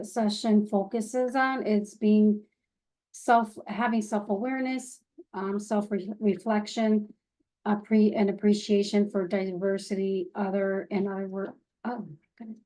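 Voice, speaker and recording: A woman co-presenting a training session, reading material hesitantly with many pauses and restarts. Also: medium pitch, mellow, low-quality recording. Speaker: co-facilitator.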